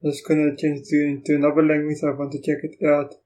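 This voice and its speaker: Afrikaans-speaking man, mellow and monotone, reviewing software and thinking aloud. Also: fairly high pitch. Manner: thinks aloud, halting, reacts to what is on screen. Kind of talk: casual screen-recording walkthrough.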